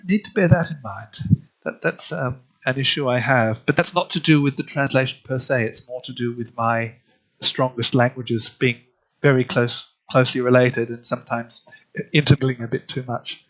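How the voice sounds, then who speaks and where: Fifties man, Australian-born, slight German tinge, measured and hesitant interview guest. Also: mid-range voice, poor audio. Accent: slight German influence on Australian English. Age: 50s. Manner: thoughtful, hesitant, many ums and restarts. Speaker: guest, literary translator. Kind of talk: interview answers.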